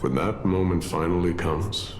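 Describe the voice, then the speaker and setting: An actor voicing a grave, grandiose embodiment of strife in a video game, solemn and deliberate, welcoming death. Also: male voice, deep voice, a little hiss or hum, natural voice. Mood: stately, accepting. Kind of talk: theatrical video game voice acting. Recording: echoey with faint music behind.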